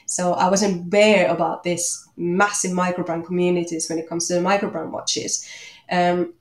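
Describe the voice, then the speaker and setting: A woman with a Finnish accent answering interview questions as a guest, speaking in a hesitant, halting way. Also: on the low side, level tone. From Finland. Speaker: interview guest, watchmaker and small business owner. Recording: echoey room.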